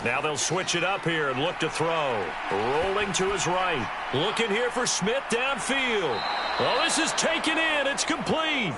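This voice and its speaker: American football play-by-play announcer, loud background noise. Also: male voice, high voice, very animated, a little slow. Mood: announcing. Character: polished male football play-by-play announcer, excitable on big plays. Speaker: play-by-play commentator. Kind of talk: football game commentary. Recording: loud game noise behind voice.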